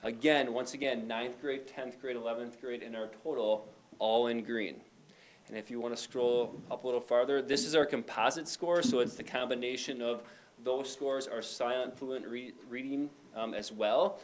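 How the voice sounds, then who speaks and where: A man, a high school principal, reports to a board in a relaxed, conversational way and fills pauses with frequent fillers. His voice sits in a middle range for a man. Faint noise runs behind him in the meeting room. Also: low-quality recording.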